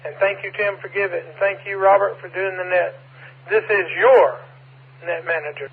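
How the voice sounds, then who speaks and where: A hoarse man speaking formally and deliberately as a radio net manager, heard over two-way radio. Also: high voice, steady tone, slight background noise. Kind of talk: radio check-in. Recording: narrowband two-way radio audio.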